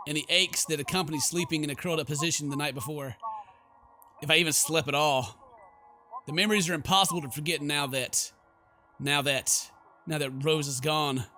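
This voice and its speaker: Bright, high-voiced male gamer, theatrical. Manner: theatrical, exclamatory when reacting. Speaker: gaming video host. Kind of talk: horror game let's play, reading the story aloud with reactions.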